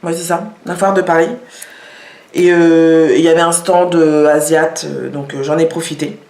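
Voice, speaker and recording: A woman's voice: low-pitched, flat delivery, normal speed, darkish tone. A woman showing products in a casual vlog, talking with many hesitations and fillers. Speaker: vlogger. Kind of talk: casual product show-and-tell. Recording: echoey room.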